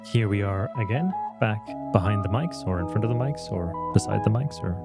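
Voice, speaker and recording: A man's voice: on the low side, expressive, a little fast, gravelly, loud music behind the voice. A man hosting a podcast, joking around and rambling with frequent restarts. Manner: jokey, rambling, restarts a lot. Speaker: podcast host. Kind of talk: casual interview.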